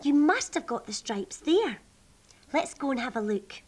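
A woman's voice: sits high, big pitch swings, measured pace, darkish tone, pitched above the performer's own voice. A woman voicing a curious, thoughtful female storybook character who puzzles aloud with emphatic wonder for young children.

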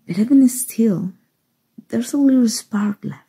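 A woman acting a kind, earnest human rescuer in a soft ASMR roleplay, in a gentle, reassuring manner. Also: on the high side, a little slow, full character voice. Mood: tender, reassuring. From Mexico. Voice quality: soft, breathy, husky.

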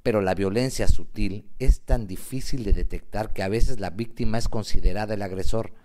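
A man with a fairly low voice narrates a radio soap. He reads the script dramatically, with deliberate emphasis on his phrases. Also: warm tone.

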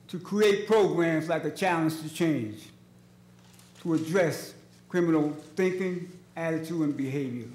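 An older man speaks as a community organizer at a public hearing. His voice sits a little high for a man, and he builds his impassioned case in short, emphatic, repeated phrases. The chamber rings with echo around the table microphone. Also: a little mellow. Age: 60s. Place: large indoor legislative hearing room, seated at a table. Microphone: gooseneck table microphone.

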